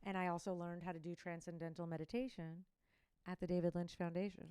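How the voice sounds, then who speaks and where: A woman's voice: lowish voice, flat delivery, fast, dark tone, smooth, no echo. A woman guest on a chat show, chatty and rambling, full of likes and ums. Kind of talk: casual chat.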